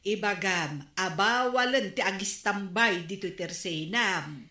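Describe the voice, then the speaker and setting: A nosy gossiping neighbor. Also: female voice, mid-range voice, unhurried, pushed and bright, echoey room. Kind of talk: radio drama acting.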